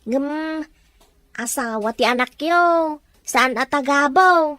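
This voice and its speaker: An anxious, protective old mother in an Ilocano radio drama. She speaks with a high, bright pitch and fusses with a fretful edge. The studio sound is close and completely dry. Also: slow, no echo. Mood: worried. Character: worried older mother fussing over her daughter. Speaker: visiting mother.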